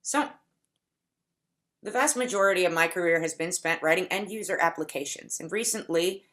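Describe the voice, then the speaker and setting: Deliberate woman giving a conference talk. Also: fairly low pitch, fairly bright tone. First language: English. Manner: deliberate, explanatory, stretches key words. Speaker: conference speaker. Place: home setup for a virtual conference.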